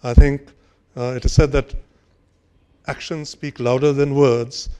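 An older man giving a formal speech at a podium on a conference hall stage, measured and hesitant, with frequent fillers and restarts. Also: medium pitch, flat delivery, dark tone, clean tone. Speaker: conference speaker. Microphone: podium microphone.

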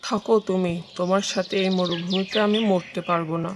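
A woman playing a fed-up, nagging village wife in an animated folk tale. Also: fairly low pitch, brisk, slight background noise, close to an everyday voice. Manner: scolding, nagging.

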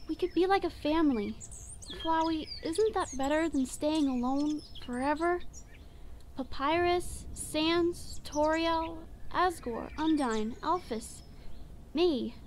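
A woman voicing a gentle, determined human child in a comic dub, earnest and pleading. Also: high-pitched, takes it slowly, slight background noise. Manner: soft-hearted, earnest, pleading.

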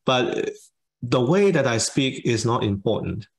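A man from Hong Kong with a Hong Kong English accent that shifts between British and American, speaking in a measured, thoughtful way over a video call from his study. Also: medium pitch, lively intonation, husky. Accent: Hong Kong English, mixing British and American. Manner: measured, thoughtful, halting pauses. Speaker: guest speaker. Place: home study with bookshelves. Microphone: webcam on video call.

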